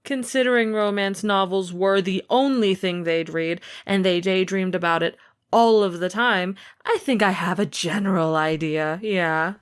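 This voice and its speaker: A woman plays an older sister in an intimate audio roleplay. She is protective and affectionate, nagging playfully and speaking sincerely. Her delivery is unhurried, at a middling pitch. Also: clean tone, natural voice. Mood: playful teasing. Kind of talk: amateur audio roleplay acting.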